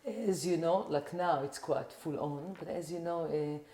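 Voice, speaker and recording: A woman's voice: sits low, measured pace, warm tone, roomy sound. A middle-aged woman from Israel with an Israeli accent, a podcast guest chatting casually and rambling with lots of "like" and "you know". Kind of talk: casual interview chat.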